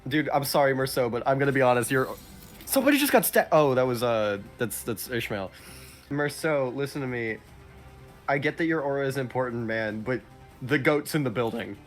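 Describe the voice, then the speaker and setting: A man's voice: mid-range voice, animated, a little fast, soft background music. A young man giving casual, exclamatory game commentary, bantering with a friend. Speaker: gamer playing with a friend, reading story text aloud.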